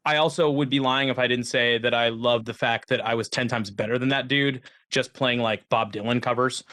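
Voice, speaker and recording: A man's voice: medium pitch, fairly even intonation, brisk, fairly bright tone. A man in his thirties, a musician guest, telling rambling, self-deprecating stories in a casual conversation.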